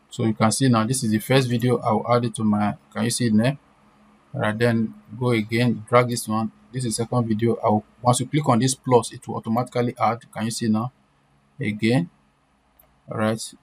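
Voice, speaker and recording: A man's voice: fairly low pitch, darkish tone. A man presenting an informal step-by-step screen-share tutorial, repeating himself and often checking in with short questions. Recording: clear background noise.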